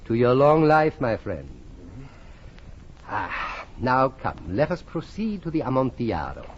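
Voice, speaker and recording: A man's voice: medium pitch, sing-song, a little slow. An actor playing a vengeful nobleman in an old-time radio horror drama, coaxing and repeating himself with falsely solicitous, gloating menace. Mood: falsely friendly. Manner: coaxing, repetitive, chuckling menace. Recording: muffled old radio recording, faint noise.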